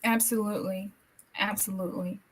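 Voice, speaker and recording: A woman from the American South hosting a podcast interview, friendly and affirming, playful as she runs a quick game. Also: medium pitch, steady tone, slightly rough. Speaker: podcast host. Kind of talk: casual interview chat.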